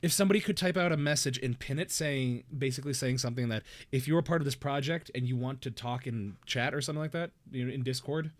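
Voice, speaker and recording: A man's voice: mid-range voice, quick pace, few pauses, slight background noise. A young man hosting a casual livestream, rambling and self-deprecating in an upbeat way. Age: young adult. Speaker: stream host.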